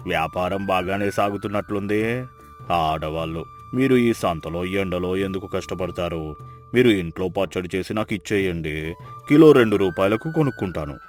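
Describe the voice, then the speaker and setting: A man acting a scheming, spiteful village grocer in a moral story, with a sneering, accusing manner. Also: low-pitched. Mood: sly, condescending.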